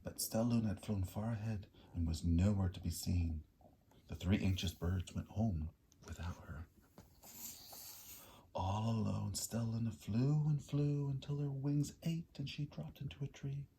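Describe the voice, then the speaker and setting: A man reading a picture book aloud in an animated, expressive storyteller way. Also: fairly low pitch, unhurried, a little hiss or hum.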